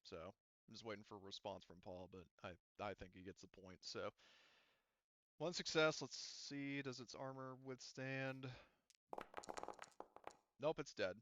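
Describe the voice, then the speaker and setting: Man, game master, bright forceful voice, dry close sound, slightly dull audio, halting casual narration. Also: mid-range voice, steady tone, no echo. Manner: halting, thinking aloud. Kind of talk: narrating a tabletop roleplaying game, casual chat.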